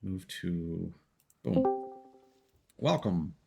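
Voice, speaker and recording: A man's voice: deep voice, big pitch swings, extremely slow, creaky, over music. A man hosting a casual gaming livestream, rambling and thinking aloud as he plays. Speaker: livestream host playing a game. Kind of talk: casual gaming stream chat.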